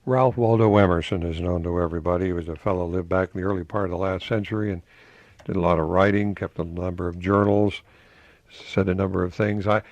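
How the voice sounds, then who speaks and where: An older man giving a reflective radio talk in a conversational, musing way, posing rhetorical questions and reading quotations slowly with emphasis. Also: deep voice, steady tone, a little mellow, dry recording. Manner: slow, emphatic delivery of quotations. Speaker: radio host, professor.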